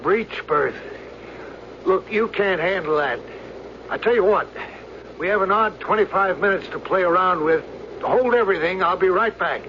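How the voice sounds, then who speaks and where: A weary, steady doctor pressed into piloting an airliner in a radio drama. His voice sits high for a man. The recording is dull, with clear aircraft noise behind him. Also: normal speed, pauses often. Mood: brisk, decisive. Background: loud aircraft drone.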